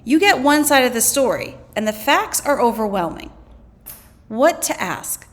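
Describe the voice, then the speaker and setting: Animated woman reading a lesson aloud. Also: high-pitched, measured pace. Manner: expressive, acts out quoted slogans. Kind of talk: educational read-aloud of scripted text.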